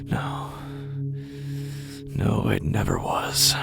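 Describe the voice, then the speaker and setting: A man playing a desperate, ingratiating street busker in a horror audio drama, speaking in a theatrical, halting way and repeating himself. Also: on the low side, wildly swooping pitch, creaky, over loud music, heavily acted. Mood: desperate, pleading. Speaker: actor in a horror fiction podcast. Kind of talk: dramatic first-person audio fiction monologue.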